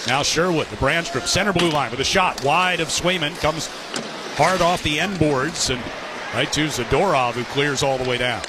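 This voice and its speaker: A man calls live hockey play-by-play on the radio in a fairly high voice. Loud arena noise surrounds him throughout the broadcast. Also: normal speed. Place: sports arena broadcast position.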